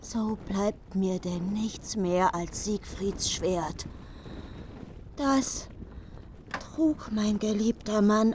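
An actress playing a vengeful, grieving queen in a radio drama, coldly determined and deliberate. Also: on the high side, a bit bright, slight background noise, exaggerated voice. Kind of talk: German radio drama acting.

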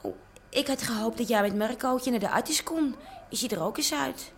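A woman's voice: fairly high pitch, lively intonation, moderate pace. An actress playing a fed-up, sarcastic young working-class mother in a radio drama. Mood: nagging. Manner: nagging, sarcastic.

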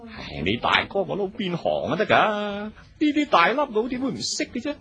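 Worldly boss role male actor: extremely animated intonation, brash, exclamatory, teasing, radio drama style. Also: sits high, moderate pace, a crowd in the background. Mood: curious, boastful. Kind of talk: Cantonese radio drama acting.

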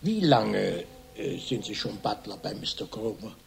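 An actor playing a shrewd, laconic private detective in a radio crime drama, with a dry, probing manner and a husky, gravelly voice. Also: male voice, fairly low pitch, expressive, measured pace, slight background noise, full character voice. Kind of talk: German radio crime drama, first-person narration and questioning.

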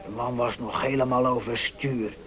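An actor in a radio play as a chatty, concerned acquaintance, speaking in an earnest conversational way. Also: male voice, medium pitch, steady tone, moderate pace, fairly bright tone, close to an everyday voice. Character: chatty, concerned acquaintance bearing grim news.

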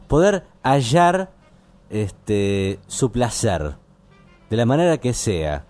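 A man with a Buenos Aires Argentine accent hosting casual late-night radio, explaining things in a halting, rambling way. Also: mid-range voice, very animated, darkish tone, faint music behind. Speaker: radio host.

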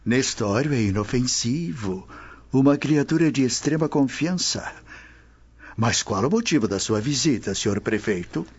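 A Brazilian-accented actor playing a genial, courteous old Roman official in a radio drama. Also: male voice, mid-range voice, lively intonation, measured pace, slightly rough, dull audio. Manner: genial, courteous, hesitant interjections.

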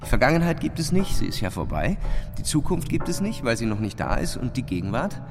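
A man's voice: medium pitch, big pitch swings, brisk. An actor playing a pondering, argumentative young male thinker in an audio drama, probing as he talks. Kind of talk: German audio drama acting. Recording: long reverb, music underneath.

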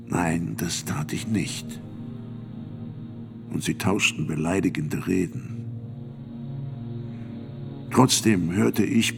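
An actor playing a fearful, solemn sixty-year-old priest and physician, giving deliberate, grave testimony in a radio play. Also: male voice, sits low, vocal fry, heavily acted. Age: older.

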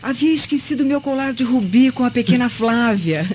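A woman's voice: fairly high pitch, expressive, moderate pace, darkish tone, dull audio, slight background noise, lightly acted. An actress playing a deceitful Roman noblewoman in a Brazilian radio drama, sweetly over-explaining herself with a Brazilian accent. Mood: sweetly evasive. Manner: flustered, wheedling, over-explaining. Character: deceitful Roman noblewoman, defensive and sugary wife.

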